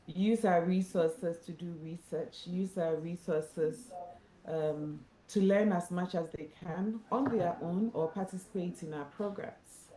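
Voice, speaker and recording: A woman, an executive director opening and hosting a live online session in a measured way with frequent ums. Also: on the low side, dark tone. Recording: echoey room, faint noise.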